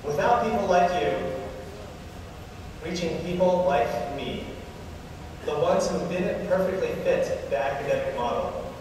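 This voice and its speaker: A man's voice: sits high, unhurried, pauses often. A man giving prepared, formal sponsor remarks, thanking the audience. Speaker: sponsor speaker. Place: large conference hall. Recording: reverberant hall, distant mic, room noise.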